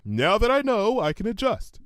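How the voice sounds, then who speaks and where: Man voicing a brash, high-pitched cartoon pony mare. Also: sing-song, normal speed, fairly bright tone, smooth, no room sound. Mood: breezy, confident. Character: brash, oblivious, gleefully reckless female cartoon pony. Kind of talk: animated fan fiction character reading.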